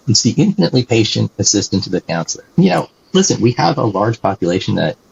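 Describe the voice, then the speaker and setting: A man's voice: fairly low pitch, sing-song, fast talker, creaky, slightly rough. A man being interviewed as a guest, explaining things animatedly and tagging sentences with "right?", on a dull lo-fi recording. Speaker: guest, company executive. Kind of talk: conversational interview answers.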